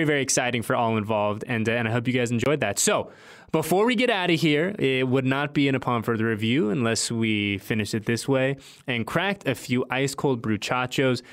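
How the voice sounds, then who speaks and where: Upbeat sports podcast host guy, normal pitched voice, chatty and informal, really into it. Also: a bit bright. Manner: enthusiastic, informal, repeats himself for emphasis. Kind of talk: casual, chatty sports show hosting.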